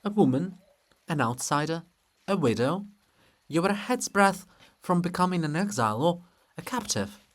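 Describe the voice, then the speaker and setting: A man's voice: fairly high pitch, sing-song, moderate pace. A man voicing a bold, sly, devoted sister in a theatrical, teasing, insistent stage reading. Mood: sly, challenging. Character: Sister Lybid, bold, sly, devoted female river spirit.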